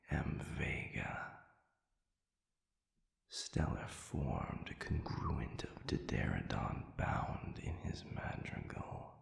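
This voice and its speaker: A man voicing an ancient, solemn celestial being in an audio roleplay, speaking in a breathy whisper with formal, archaic phrasing and halting pauses. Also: rumbling low, exaggerated voice. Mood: halting, unsettled. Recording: reverberant, echoey sound.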